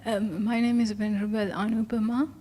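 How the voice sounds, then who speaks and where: A newly ordained Buddhist nun introducing herself softly and modestly at a retreat. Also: female voice, medium pitch, level tone, fairly quick, noisy background. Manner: soft, modest, with fillers.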